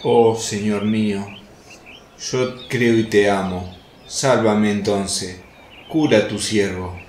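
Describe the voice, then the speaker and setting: An actor playing a humble, pleading crippled beggar in a radio drama. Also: male voice, fairly low pitch, steady tone, slow, darkish tone. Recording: roomy sound with faint noise. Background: birdsong, outdoor ambience.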